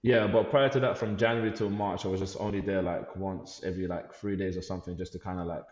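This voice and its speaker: A man's voice: low-pitched, fairly even intonation, quick pace, darkish tone, roomy sound, in a large hall, highs cut off. A man from Lithuania, a guest on a podcast, talking in an easygoing, reflective way and repeating words as he searches for them. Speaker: podcast guest, life coach and youth worker. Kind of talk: casual podcast conversation.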